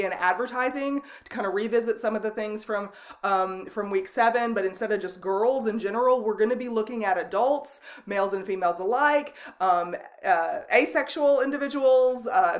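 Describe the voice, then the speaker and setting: A woman lecturing to her students on video with a loud voice. She talks in an easy, conversational way, stopping and restarting her sentences with plenty of ums. Her voice sits at an ordinary middle pitch. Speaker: college instructor. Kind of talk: recorded online lecture.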